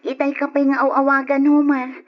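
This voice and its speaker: An actress playing a dutiful, nagging working wife in conversational old radio drama style. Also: female voice, sits high, level tone, moderate pace, lo-fi sound, natural voice. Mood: exasperated.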